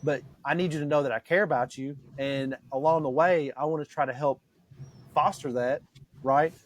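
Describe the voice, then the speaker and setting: A man's voice: a little high, moderate pace. A man in his forties hosting a podcast, talking earnestly and repeating himself as he works toward his point. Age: 40s. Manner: earnest, repeats words while reaching for a point. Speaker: podcast host. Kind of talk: casual chat.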